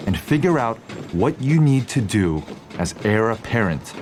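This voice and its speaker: A stern corporate right-hand man in a podcast drama. His lowish, lively voice gives dutiful, admonishing advice over clear vehicle noise. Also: measured pace. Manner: matter-of-fact, admonishing. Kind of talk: audio drama acting. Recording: loud vehicle noise behind.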